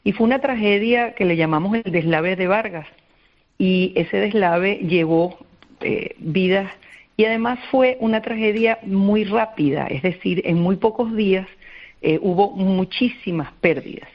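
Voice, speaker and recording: A woman's voice: mid-range voice, measured pace. A woman from Venezuela with a Venezuelan accent, answering earnestly as a radio interview guest and explaining things with repeated phrases for emphasis. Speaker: interview guest, volunteer psychologist. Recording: dull, band-limited radio call.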